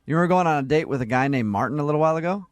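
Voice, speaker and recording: A man's voice: a little high, lively intonation, rapid-fire, no echo. A man hosting a morning radio show with teasing, joking banter, close on a studio microphone.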